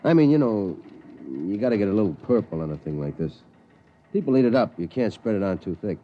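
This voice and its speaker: An actor playing a gruff, jaded newspaper night editor, rambling offhandedly in a 1950s radio drama. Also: male voice, lowish voice, very animated, fairly quick. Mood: offhand, musing. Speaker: news editor. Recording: dull, noisy 1950s radio recording.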